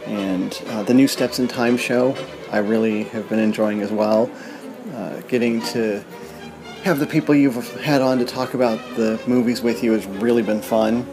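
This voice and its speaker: A man's voice: on the low side, fairly even intonation, moderate pace, low-quality recording. A man, a podcast host, leaving a friendly, casual congratulatory message. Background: music.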